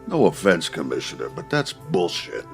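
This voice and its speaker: Blunt, resentful cop, male actor, very deep and gruff, comic fan dub. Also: huge pitch swings, moderate pace, music in the background, full character voice. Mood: indignant.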